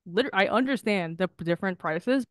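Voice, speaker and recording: A woman's voice: mid-range voice, expressive, a little fast, smooth, no room sound, clean studio sound. A young woman co-hosting a podcast, talking in rambling casual chat, swearing and laughing often. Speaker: podcast co-host.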